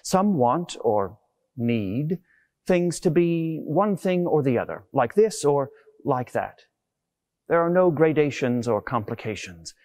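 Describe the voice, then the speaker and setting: Male preacher, middle-aged, lively intonation, scripted sermon delivery on a lapel mic. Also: a little high, slow pace. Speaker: preacher, Anglican clergyman. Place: inside a large stone church.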